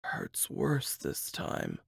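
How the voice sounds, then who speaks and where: A woman voicing an injured, groggy man in an audiobook, raspy, halting and terse. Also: fairly bright tone, no room sound, clean studio sound. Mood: groggy, pained. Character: injured groggy foster brother waking in hospital.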